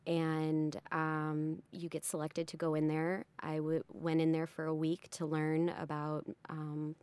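Low-voiced earnest young woman, dry sound. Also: monotone, measured pace, a little mellow, smooth, dry recording. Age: 20s. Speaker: interview participant and health advocate. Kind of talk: personal storytelling.

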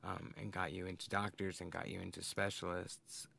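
Deep gravelly man, faint room noise. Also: level tone, normal speed, sharp tone. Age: 40s. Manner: supportive, plain-spoken. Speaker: interviewee's partner. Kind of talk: oral history interview.

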